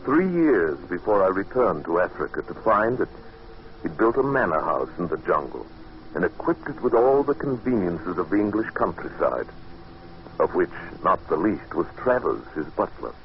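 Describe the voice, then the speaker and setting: Earnest English businessman, old radio drama narrator, deep-leaning voice, wide melodic swings, muffled noisy recording. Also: big pitch swings, normal speed. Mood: awed, uneasy. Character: John Buchan, earnest English businessman narrating an eerie tale.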